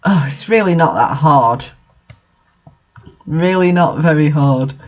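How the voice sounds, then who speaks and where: Slow-talking man with a high voice. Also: lo-fi sound. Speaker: host of bad fanfiction readings. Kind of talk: comic reading with casual commentary.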